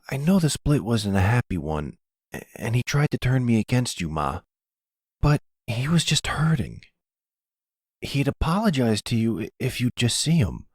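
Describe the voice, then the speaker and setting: A man's voice: mid-range voice, a little mellow, breathy edge, no room sound, clean studio sound, pitched above the performer's own voice. Man playing a nervous, lighter-voiced young son. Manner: hesitant, pleading, deferential. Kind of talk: ASMR roleplay audio drama.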